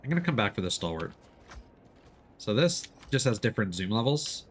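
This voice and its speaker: A man doing casual live gaming commentary and chatting with viewers, excitable and quick to exclaim when surprised. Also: fairly low pitch, slight background noise. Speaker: video game streamer.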